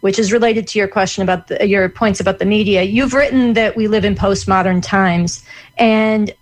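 A woman's voice: mid-range voice, steady tone, moderate pace. Thoughtful woman host asking questions. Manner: thoughtful, searching phrasing. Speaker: interviewer, host. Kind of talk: interview question.

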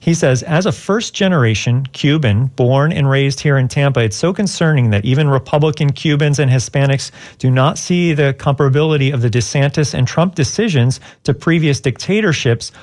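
A man's voice: mid-range voice, fairly quick, hardly any pauses, darkish tone. A man hosting a live call-in radio show, moderating between guest, callers and emails.

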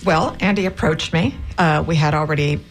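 A woman from Cuba, a professor and co-author, explaining her part in a book during a radio interview in a clear, steady way. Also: low-pitched, moderate pace, slight background noise. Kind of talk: casual interview talk.

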